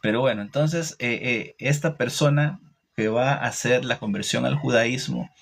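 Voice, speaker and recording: A man with a Costa Rican Spanish accent giving an informal, didactic religious talk, opinionated, pausing and repeating phrases as he goes. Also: medium pitch, steady tone, gravelly. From Costa Rica. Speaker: religious teacher hosting a livestream. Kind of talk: informal religious talk answering live comments.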